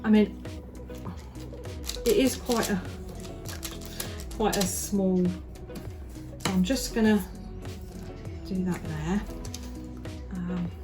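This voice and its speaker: A middle-aged woman talks her way through a bake in a loose, rambling way. She keeps restarting her sentences, and her voice sits at a middle pitch. Music plays clearly behind her. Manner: chatty and rambling, many restarts and "you know"s. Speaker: home baker, the host's mother. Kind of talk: casual chat while baking.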